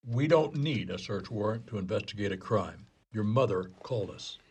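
A man's voice: on the low side, lively intonation, normal speed, breathy edge, full character voice. A man playing a dry, persistent police detective in a comedic radio play, terse, probing and matter-of-fact.

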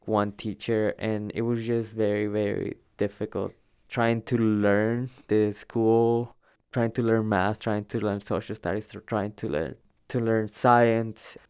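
Smooth, low-voiced young man. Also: little pitch movement, unhurried, a little mellow. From El Salvador. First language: Spanish. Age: young adult. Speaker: storyteller recounting own migration. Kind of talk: informal personal narrative.

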